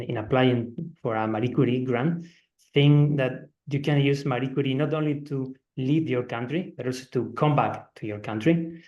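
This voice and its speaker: A man's voice: mid-range voice, a little mellow. A man in his forties from Spain, with a Spanish accent, introducing himself on a webinar panel and often restarting his sentences. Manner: hesitant, many restarts and fillers. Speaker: climate scientist, panelist. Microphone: video call. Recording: slightly dull video-call audio.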